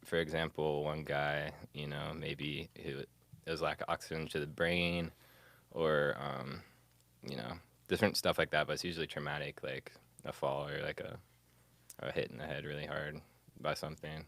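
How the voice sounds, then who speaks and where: A man's voice: extremely low pitch, steady tone, moderate pace, creaky, a bit bright, dry recording. A man telling stories as a podcast guest in casual, slangy, informal conversation. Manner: informal, slangy, says bro and like. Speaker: podcast guest, music producer. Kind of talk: casual chat, storytelling.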